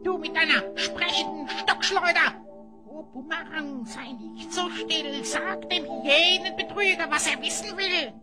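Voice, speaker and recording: A woman's voice: high-pitched, unhurried. A woman playing a young, cheeky, wide-eyed adventurer in a fantasy audio drama. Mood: mocking. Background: loud music.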